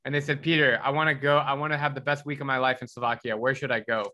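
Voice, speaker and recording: Bright-voiced easygoing male podcast host. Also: medium pitch, level tone, fast talker. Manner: easygoing, calls guest bro. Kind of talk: casual interview.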